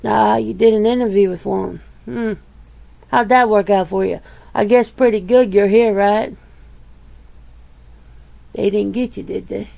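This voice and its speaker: Woman playing a slow, creaky, laid-back werewolf. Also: medium pitch, steady tone, dark tone. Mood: teasing, wry. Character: laid-back, plain-spoken werewolf being interviewed, peace-minded. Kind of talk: ASMR roleplay, improvised interview answers.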